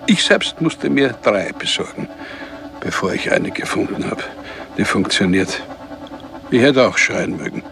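A man's voice: on the low side, big pitch swings, measured pace, over music, exaggerated voice. An actor playing a polite, understated male city archivist in a German radio crime drama. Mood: polite, modest. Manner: courteous, understated. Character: polite, middle-aged male city archivist, discreetly offering murder jobs. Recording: clear ambient noise behind the voice.

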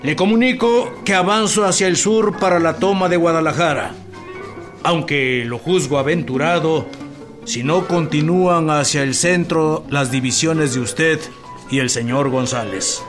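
Man acting a higher-pitched, courteous army general over music. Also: close to an everyday voice. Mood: cautious, formal. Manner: formal, measured. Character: courteous, cautious male army general answering by letter. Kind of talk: radio drama acting.

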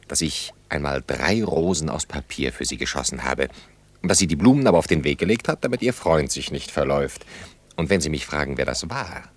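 An actor playing a wry, teasing man who acts out the part of husband and father in an intimate radio drama. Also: a bass voice.

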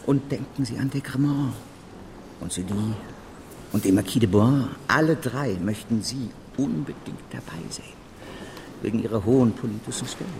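An actor playing a driven, proud financial speculator in a German radio drama, urgent and persuasive. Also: male voice, mid-range voice, normal speed, gravelly, background noise, close to an everyday voice. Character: driven, proud male financial speculator in 19th-century Paris.

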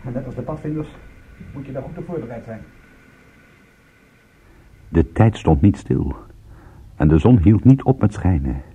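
An actor playing a wry private detective in a radio play, speaking and narrating in a dry, understated way. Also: male voice, lowish voice, expressive, normal speed, pauses often, breathy edge. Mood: dry, resigned. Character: wry male private detective, calm under mortal danger. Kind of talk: radio play acting with first-person narration. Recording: muffled, phone-like radio drama recording.

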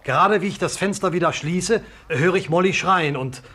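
Irritable householder, impatient, blunt, indignant, slightly high voice, 1950s radio play. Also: male voice, normal speed, a bit bright. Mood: explaining.

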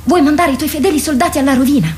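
Actress as protective big sister, high female voice, teasing, admonishing, radio drama, slight ambient noise. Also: racing, a little mellow, close to an everyday voice. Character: boastful, protective older sister, a warrior.

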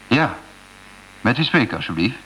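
An actor playing a courteous, eager monuments inspector in a radio play, polite and a little officious. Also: male voice, medium pitch, very animated, normal speed, sharp tone, slightly rough, exaggerated voice, rough recording. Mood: polite, intrigued.

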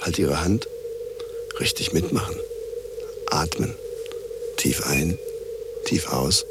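A man's voice: a bass voice, takes it slowly, vocal fry, background noise, full character voice. An actor playing an eccentric, earnest, slightly off-balance man in a German radio play. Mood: earnest, coaching.

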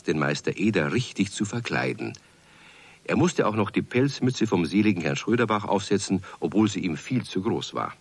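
An actor narrating a children's radio play as a calm storyteller. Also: male voice, low voice, dry recording, exaggerated voice.

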